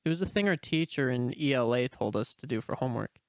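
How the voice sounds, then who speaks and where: A man voicing a gentle, caring teenage sister in an amateur fan-fiction audio story. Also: medium pitch, animated, fast talker, clean tone, dry recording, lightly acted. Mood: gentle, curious. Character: gentle, caring teenage sister and plain-spoken science teacher.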